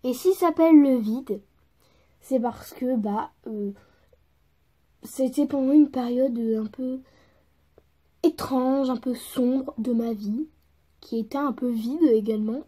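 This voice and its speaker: A woman's voice: high voice, slow pace, pauses often, warm tone, slightly rough, exaggerated voice. A woman playing a laid-back, modest pop singer in their thirties in an improvised interview, murmuring and trailing off. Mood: subdued, reflective. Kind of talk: improvised interview role-play.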